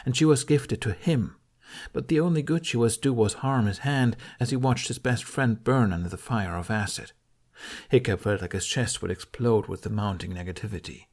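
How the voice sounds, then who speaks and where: A man's voice: on the low side, moderate pace, darkish tone. A man reading an audiobook of fantasy fiction as a dramatic, intense narrator.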